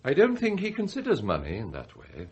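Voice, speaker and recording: An actor playing a dry, formal, quietly scheming butler in a radio drama, speaking in a measured way. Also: male voice, medium pitch, huge pitch swings, slightly rough, muffled recording. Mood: discreet. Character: immaculate butler, quietly bitter and murderously scheming. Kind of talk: radio drama narration and dialogue.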